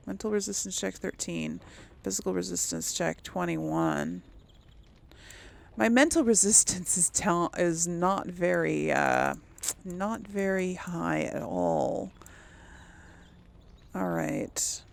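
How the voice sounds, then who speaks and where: A woman hosting a casual let's play, thinking out loud in a conversational, musing way. Her voice is a bit low with noticeable vocal fry, and her pace is unhurried. A faint noise sits behind her. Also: animated. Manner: musing, conversational, talking herself through choices. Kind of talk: casual gameplay commentary, thinking aloud.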